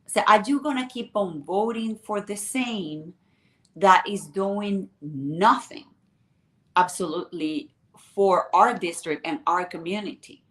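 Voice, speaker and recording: A woman's voice: mid-range voice, a bit bright, echoey room. A woman from Venezuela, a congressional candidate answering interview questions earnestly with strings of rhetorical questions.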